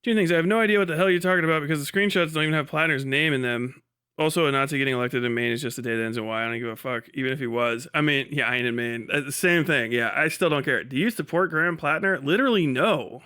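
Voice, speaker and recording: A man with a fairly high, clear voice hosts a livestream in loose, chatty fashion. His delivery is bright and forward, peppered with swearing and dry, dismissive sarcasm. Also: fast talker. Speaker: livestream host. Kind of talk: casual livestream chat.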